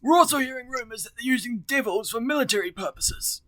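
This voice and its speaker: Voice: medium pitch, animated, quick pace, fairly bright tone, no echo, natural voice. A performer voicing a grave senior government official in an amateur anime fan dub.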